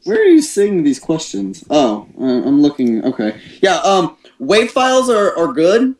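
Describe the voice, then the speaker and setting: A man's voice: a little high, huge pitch swings, unhurried, a little mellow. A man chatting casually in an online meeting, giving informal and candid technical advice. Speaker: audio editor reviewing recordings. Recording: roomy echoing voice-call recording.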